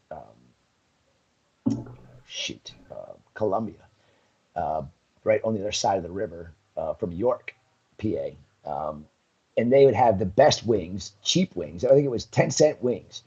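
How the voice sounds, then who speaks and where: Husky man telling rambling stories. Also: on the low side, dark tone, muffled recording. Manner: rambling storyteller, many ums, laughs often. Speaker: guest, coral business owner. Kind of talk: casual live-stream chat.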